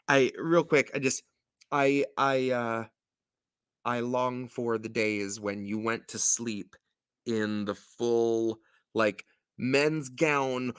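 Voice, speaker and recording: A man's voice: mid-range voice, fairly bright tone, clear, resonant tone, dry recording, studio quality. A man hosting a show, reading storybook verse and chatting casually with wry, jokey asides. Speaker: show host and storybook reader.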